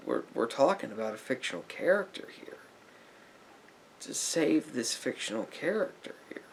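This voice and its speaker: A man playing a patient, probing therapist in a soft-spoken ASMR roleplay, with halting, deliberate phrasing and a gravelly voice that drops toward breathy near-whispers. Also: mid-range voice, very animated, close to an everyday voice, rough recording. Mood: probing, puzzled. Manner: halting, deliberate phrasing with pauses and reflective murmurs. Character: patient, probing male therapist gently counselling a client.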